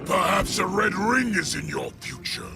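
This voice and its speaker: A man playing a raging, stern alien warlord in a video game with forceful, declamatory speech. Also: high-pitched, very animated, normal speed, vocal fry, full character voice. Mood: wrathful. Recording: game audio under loud music.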